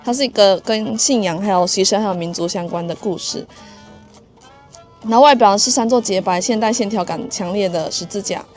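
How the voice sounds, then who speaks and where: A woman narrates a travel vlog in a medium-pitched voice, explaining history and background. Light music plays beneath her informative delivery. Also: fairly quick, a little mellow.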